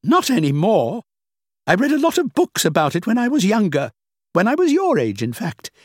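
A man voicing a sharp, skeptical older woman who lectures and scoffs, in an audiobook reading. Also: sits high, wildly swooping pitch, normal speed, no echo, clean studio sound, voice pushed up, heavily acted. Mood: scornful. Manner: scornful, lecturing, emphatic.

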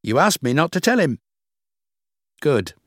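A man's voice: on the high side, very animated, unhurried, fairly bright tone, no room sound, clean studio sound, pitched above the performer's own voice, full character voice. A man voicing an earnest, determined young woman employee in an audiobook, plain and insistent. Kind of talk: audiobook character acting.

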